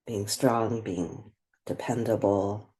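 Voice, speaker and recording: A woman leading a guided meditation, speaking gently and without hurry, leaving long pauses between phrases. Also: extremely low pitch, steady tone. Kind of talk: guided meditation, slow and spoken softly.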